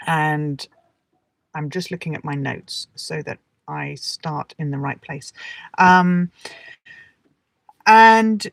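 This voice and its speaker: A middle-aged woman with an English accent teaching in a relaxed, chatty and encouraging way, speaking directly to her listeners. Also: low-pitched. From England. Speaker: English teacher hosting a live lesson. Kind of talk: relaxed, chatty livestream talk with personal anecdotes. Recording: roomy echo, distant from the mic.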